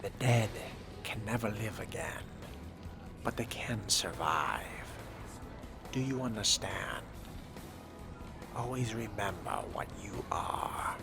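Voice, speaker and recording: A man narrating a video game in character, measured and theatrical, with formal wording. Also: lowish voice, over music. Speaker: role-play gamer voicing his character.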